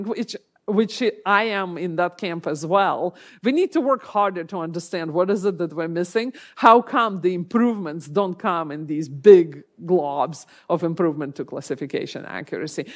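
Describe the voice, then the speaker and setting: Woman lecturing on a conference stage. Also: medium pitch. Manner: thoughtful, explanatory, frequent self-corrections. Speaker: keynote speaker, professor. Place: large lecture hall, speaking from a stage.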